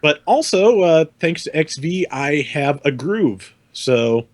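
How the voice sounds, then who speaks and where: A man's voice: on the high side, sing-song, slow pace, dry recording. A man chatting casually as a co-host on a podcast. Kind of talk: casual chat.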